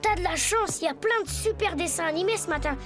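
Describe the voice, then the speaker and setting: A very high, childlike boy's voice, earnest and protesting in cartoon style. Music plays clearly behind the hesitant lines. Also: fast. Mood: reassuring. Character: earnest young boy, hesitant reluctant animal tamer. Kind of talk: French cartoon dubbing.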